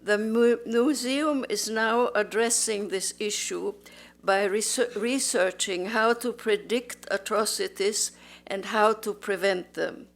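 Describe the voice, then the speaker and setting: A woman in her nineties, born in Austria, giving a slow, measured, formal speech as a museum volunteer in a museum auditorium. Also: mid-range voice. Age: 90s. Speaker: museum volunteer and Holocaust survivor. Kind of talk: prepared public speech.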